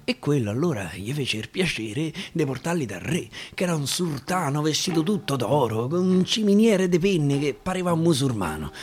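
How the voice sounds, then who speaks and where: A man with a Roman accent performing dramatic dialect verse storytelling, with deliberate repetitions and pauses, over guitar. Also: a little high, sing-song. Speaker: actor and storyteller. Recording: live guitar behind the voice.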